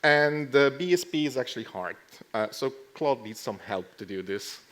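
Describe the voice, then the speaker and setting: A man's voice: medium pitch, normal speed. Dryly joking man giving a conference talk. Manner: dry, joking delivery with deadpan asides. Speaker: conference speaker. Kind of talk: casual lightning tech talk with live demo. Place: live talk to a conference audience.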